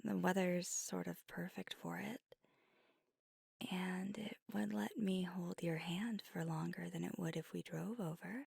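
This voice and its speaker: A woman playing a flirty, teasing, affectionate vampire on a date in an intimate ASMR roleplay, speaking softly and often dropping to a whisper. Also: lowish voice, steady tone, vocal fry, slightly rough, no echo, studio quality, exaggerated voice. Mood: warm, flirty. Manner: playful, teasing, slow and intimate. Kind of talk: ASMR roleplay, intimate one-sided dialogue.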